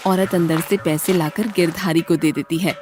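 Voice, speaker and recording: A woman giving scripted narration as the storyteller of an animated tale. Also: fairly low pitch, normal speed, music in the background.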